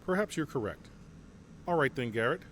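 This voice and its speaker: A man playing a composed general who leads a mercenary company, speaking with calm, assured authority. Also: medium pitch, moderate pace, noisy background, lightly acted. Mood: commanding. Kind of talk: fan audio drama acting.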